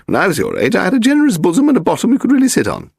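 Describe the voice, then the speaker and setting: A man's voice: high voice, huge pitch swings, very fast, gravelly, a little mellow, husky, no room sound, studio quality, pitched above the performer's own voice, full character voice. A man voicing a haughty, pedantic English aristocrat, a frail lady in her eighties, in an upper-class accent for an audiobook story. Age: 80s. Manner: pedantic, grand, derisive, demanding. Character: imperious frail aristocratic old lady of eighty-five, malicious.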